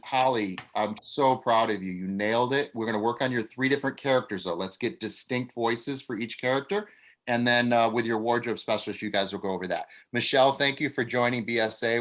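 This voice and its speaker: A man's voice: mid-range voice, fairly bright tone. A man speaking as an acting coach and director, leading a casual online group meeting in an encouraging, directing way. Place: online video call.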